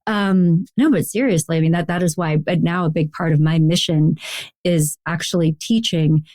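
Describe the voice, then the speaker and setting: A woman's voice: lowish voice, normal speed, darkish tone, no echo, clean studio sound. A woman hosting a podcast interview in a conversational style. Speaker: podcast host, therapist.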